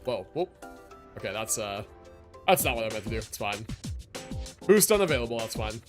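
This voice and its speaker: A man's voice: fairly low pitch, sing-song, normal speed. A young man livestreaming a game with casual, laid-back, slangy commentary. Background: game music.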